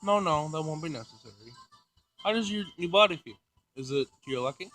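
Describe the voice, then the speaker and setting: A man's voice: a little high, lively intonation, a little fast, bright, forceful tone, soft background music. A man voicing a proud, fussy robotics professor from a visual novel, chatty and opinionated. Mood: attentive. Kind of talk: visual novel character reading.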